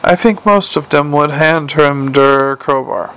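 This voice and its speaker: A man voicing a big, slow-witted male troll sergeant who talks slowly and patiently in a thick dialect. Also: a little high, a bit bright, smooth, poor audio. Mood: earnest.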